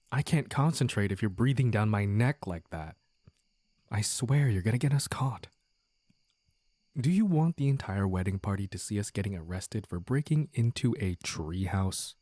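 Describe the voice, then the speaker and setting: A man playing a playful, teasing young man in an intimate romantic audio roleplay, with halting banter and mock-dramatic asides. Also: on the low side, darkish tone, dry recording. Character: playful, teasing young man sneaking out with his childhood sweetheart.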